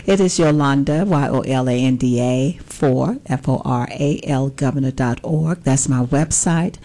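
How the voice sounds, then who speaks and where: A woman in her sixties speaks with a Southern US accent as an interview guest. Her voice is notably low and very mellow. She talks conversationally, in measured phrases that sometimes hesitate and repeat. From Birmingham, Alabama. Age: 60s. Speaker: political candidate, interview guest.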